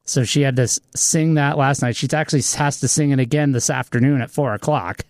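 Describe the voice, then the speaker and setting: A man's voice: mid-range voice, steady tone, brisk, darkish tone, no room sound. A man co-hosting a radio show, talking in an easygoing, storytelling way. His voice sits in a middle range and sounds dry and close. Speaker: radio co-host. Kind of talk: casual radio chat.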